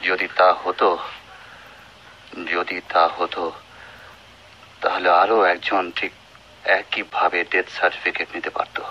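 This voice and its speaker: A man's voice: sits low, level tone, takes it slowly, pauses often, sharp tone, a little hiss or hum, full character voice. A man acting in a melodramatic radio play as a principled, grieving doctor, emphatic and emotional, on a dull old recording. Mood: defiant. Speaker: radio drama actor.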